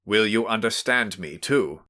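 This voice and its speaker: A man voicing a stern, angry gentleman who shouts orders in a storybook reading. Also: fairly low pitch, expressive, unhurried. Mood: menacing. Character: angry, commanding middle-aged gentleman.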